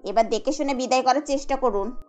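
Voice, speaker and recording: Woman voicing a shrill-pitched, scornful nagging sister-in-law. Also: fast talker, darkish tone, faint music behind, close to an everyday voice. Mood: indignant. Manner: sarcastic, scolding. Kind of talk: Bengali cartoon dubbing.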